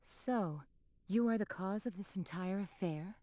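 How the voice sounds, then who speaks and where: An actress playing a stately, commanding fantasy queen in a video game, speaking in formal, measured phrases. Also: medium pitch, mellow, dry recording, natural voice. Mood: measured, grave. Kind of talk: scripted video game voice acting.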